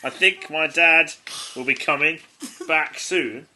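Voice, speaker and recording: A man's voice: on the high side, slow, sharp tone. An English man who co-hosts a comedy podcast, reading a child's stories aloud in an amused, stumbling way with asides. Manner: giggly, often stumbles and breaks off to comment. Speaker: podcast co-host.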